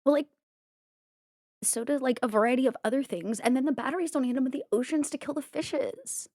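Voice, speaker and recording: A woman's voice: high voice, fairly quick, warm tone, dry recording, studio quality, voice pushed up. A woman playing a flighty, vain and ruthless vampire crime upstart, with breezy valley-girl filler talk in an improvised roleplay game. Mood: breezy, preachy. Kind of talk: improvised in-character roleplay dialogue.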